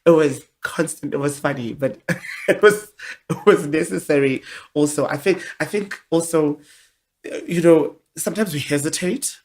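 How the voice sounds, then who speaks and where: A young woman with a deep voice, speaking candidly and expressively as a guest in a casual interview. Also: moderate pace. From South Africa. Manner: candid, expressive, with many restarts.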